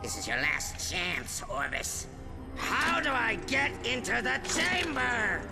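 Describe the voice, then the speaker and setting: Casting: evil robot mastermind for a game, ranting and imperious, slow high-pitched delivery, music behind. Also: male voice, very animated, vocal fry, echoey room, full character voice. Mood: menacing. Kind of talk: animated video game cutscene acting.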